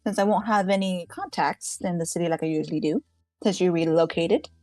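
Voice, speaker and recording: A woman's voice: on the low side, brisk, a little mellow, no room sound, studio quality, soft background music, close to an everyday voice. A woman voicing a playful, restless woman adventurer with a teasing manner. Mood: inquiring.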